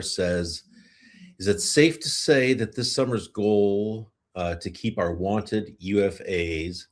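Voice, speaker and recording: Deep-voiced man hosting a sports podcast. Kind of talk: casual hockey talk and question answering.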